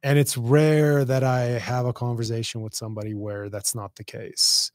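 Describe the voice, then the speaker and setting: A man's voice: mid-range voice, level tone, moderate pace, no echo, clean studio sound. A man who speaks Arabic as his first language, hosting a podcast in casual chat, blunt and sardonic, laughing often. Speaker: podcast host.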